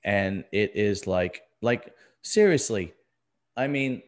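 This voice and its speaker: A man's voice: lowish voice, expressive, takes it slowly. A middle-aged man talking casually as a podcast host, blunt and emphatic, often repeating himself for stress. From US East Coast. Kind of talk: casual conversational podcast talk.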